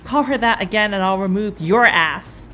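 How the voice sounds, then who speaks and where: A woman's voice: medium pitch, moderate pace, bright, forceful tone, slight background noise, natural voice. A woman playing a firm, loyal teenage heroine in an audio drama, earnest and resolute. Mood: defiant.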